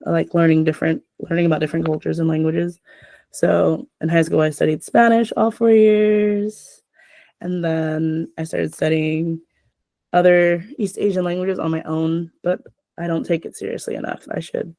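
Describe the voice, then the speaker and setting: A woman's voice: fairly low pitch, little pitch movement, normal speed, warm tone, dry recording. A young adult woman hosting a casual chat, rambling with lots of filler words.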